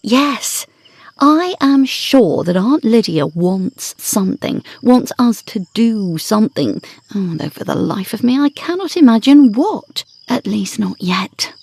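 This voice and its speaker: Woman acting a composed, wry wife, bright-voiced. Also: medium pitch, big pitch swings, creaky, breathy edge, no echo, exaggerated voice. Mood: earnest, compassionate. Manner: measured, theatrical, dryly pointed. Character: composed, wry wife, fearless and pitying of ghosts. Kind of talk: period ghost story audio drama acting.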